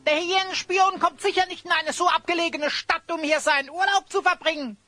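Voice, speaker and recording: A squeaky-high little adventure sidekick in a radio play. The creature is pert and sceptical, with a bright, piercing edge and exclamatory delivery. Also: steady tone, a little fast, dry recording. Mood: pert, suspicious. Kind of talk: German audio drama acting.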